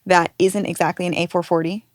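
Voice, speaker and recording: A woman talks shop at a brisk, chatty clip. Her voice sits a little low and sounds dry and close. She comes across as an engineer among peers. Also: level tone, no echo. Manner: quick, chatty, agreeable. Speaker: vocal producer and engineer. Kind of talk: casual panel discussion.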